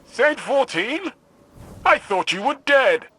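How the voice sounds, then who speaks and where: A man's voice: very high voice, big pitch swings, slow pace, bright, forceful tone, slight background noise, exaggerated voice. A man playing a proud warlord arena master in a video game, with an emphatic, grandiose delivery. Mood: surprised.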